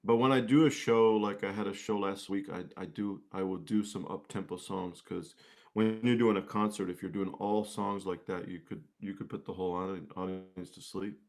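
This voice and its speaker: A man from the United States chatting casually as an interview guest, with a mellow, laid-back manner. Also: sits low, fairly even intonation, normal speed. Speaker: singer-songwriter guest.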